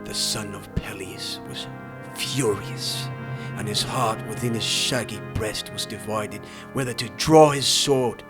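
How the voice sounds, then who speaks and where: A man's voice: lowish voice, measured pace. A man narrating an epic audiobook in a grave, theatrical storytelling style, with music behind him. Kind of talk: dramatic scripted audiobook narration.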